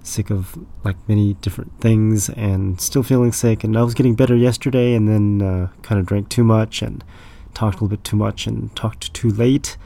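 A man hosting a radio show, hoarse and raspy from being sick, talking in a loose, rambling, self-deprecating way. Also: fairly low pitch, fairly even intonation, moderate pace, mellow. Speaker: radio DJ host. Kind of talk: casual radio show chat.

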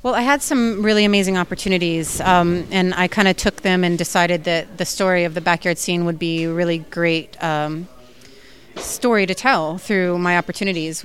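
A woman film director answering interview questions in an earnest, enthusiastic way, with frequent fillers. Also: on the low side.

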